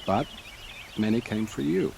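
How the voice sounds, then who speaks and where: A courtly, eloquent leader in a game cinematic. His low voice swings expressively with a faint wryness, over clear outdoor ambience. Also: animated, a little slow, full character voice. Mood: measured, earnest. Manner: formal, eloquent, faintly wry. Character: courtly male military leader briefing a young heroine. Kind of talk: video game cinematic dialogue. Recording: outdoor ambience noise behind the voice.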